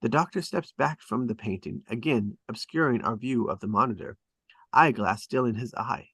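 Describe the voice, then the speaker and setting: Guy reading stage directions, mid pitched voice, super dry sound, punchy dramatic phrasing. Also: normal speed, fairly bright tone, slightly rough, no room sound. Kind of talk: scripted dramatic narration at a table read.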